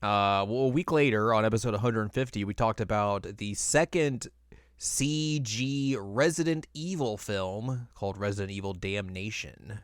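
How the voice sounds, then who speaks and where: Smooth-voiced man hosting a casual podcast. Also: lowish voice, moderate pace, no echo, studio quality. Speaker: podcast host. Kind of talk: casual chat about video game films.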